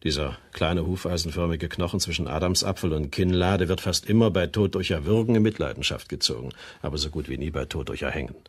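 Male forensic pathologist for radio crime drama: low, husky, precise and sceptical. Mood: clinical, firm. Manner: measured, clinical, explanatory.

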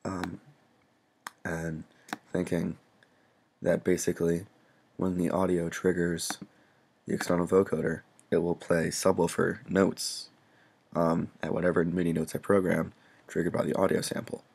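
Very deep, creaky-voiced casual young man. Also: level tone, unhurried, pauses often, a little mellow. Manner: informal, sweary, self-deprecating. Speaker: music production tutorial presenter. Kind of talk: casual unscripted screen-recorded tutorial.

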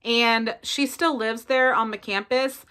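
A woman's voice: a little high, measured pace, smooth. A woman in her thirties with a Southern American accent, chatting casually to the camera from her bedroom as a family vlogger, full of fillers. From South Carolina. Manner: chatty, expressive, lots of fillers. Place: bedroom at home, indoors. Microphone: camera in front at close range.

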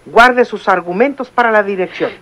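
An actress playing a cold, formal head nurse in a vintage radio drama. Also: female voice, mid-range voice, moderate pace, a bit bright, lightly acted. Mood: stern. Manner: stern, formal.

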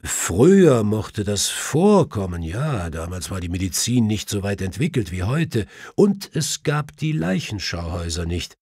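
An earnest, cautious Victorian doctor in an audiobook, played by a male narrator. The voice is husky and recorded very dry. He explains in measured, formal sentences. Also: fairly low pitch, very animated, darkish tone, dry recording, full character voice. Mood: assured, explanatory. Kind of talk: audiobook character voice.

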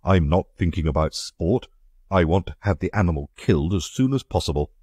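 A man voicing a blustering, indignant country gentleman in a reading of a story. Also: deep voice, expressive, brisk, slightly rough, no echo, studio quality, voice pushed up. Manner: blustering, emphatic.

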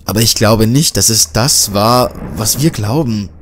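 A man voicing a level-headed male journalist who talks in a calm, polite, reassuring way. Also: fairly low pitch, a little slow, slight background noise.

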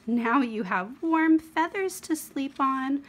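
Storybook mom, put on by a female reader: gently firm, deliberate, drawn-out scolding, fairly high voice. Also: animated. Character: gently firm young mother scolding her little boy. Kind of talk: picture-book character reading.

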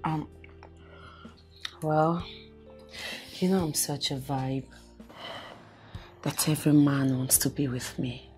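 Resentful, seductive best friend: unhurried, deliberate, sly delivery over faint music. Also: female voice, deep voice, steady tone, dark tone. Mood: seductive, teasing. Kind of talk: melodramatic film acting.

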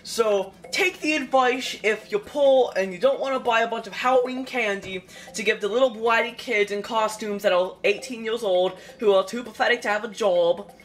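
A woman's voice: a little high, moderate pace, hardly any pauses, soft background music. Rambling young woman narrating a puppet skit. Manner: rambling, self-correcting, jokey. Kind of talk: casual narration of a plush puppet cooking skit. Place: home kitchen and dining room.

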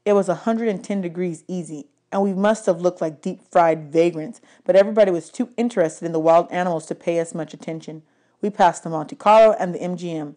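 A woman's voice: lowish voice, warm tone. A woman narrating a novel aloud as an audiobook reader. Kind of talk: read-aloud story narration.

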